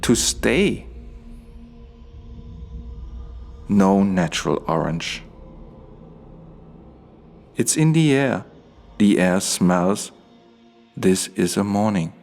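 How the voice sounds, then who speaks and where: Slow, deep-voiced dreamy Bibi, played by a man. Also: a little mellow, heavily acted. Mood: wistful. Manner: slow, fragmented, lyrical phrasing. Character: Bibi, dreamy solitary stage character marvelling at orange skies. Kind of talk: radio play monologue, poetic.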